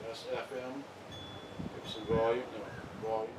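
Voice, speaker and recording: A man's voice: mid-range voice, little pitch movement, normal speed. A man giving a casual, unscripted unboxing demonstration, rambling and muttering to himself as he works. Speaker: vlogger showing vintage electronics. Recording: roomy, distant mic, loud background noise.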